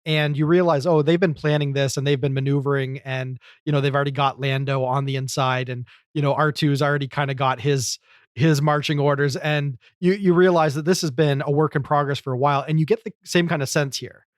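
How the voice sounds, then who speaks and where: A man's voice: on the high side, fairly even intonation, a little fast, dry recording, clean studio sound. A man co-hosting a podcast, talking casually and at length in a chatty, explanatory way. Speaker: podcast co-host. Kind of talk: casual discussion and commentary.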